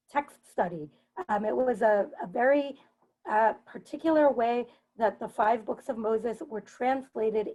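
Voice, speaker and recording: A woman giving an explanatory academic talk as a panelist, articulate and measured, explaining terms as she goes. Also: a little high, darkish tone, low-quality recording. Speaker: professor speaking as panelist.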